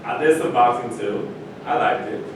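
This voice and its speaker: A man's voice: moderate pace, natural voice. Man playing a skeptical needler, very echoey room. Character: skeptical, needling man who challenges his peers. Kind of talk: staged play reading. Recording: echoey room, distant mic, noisy rumble.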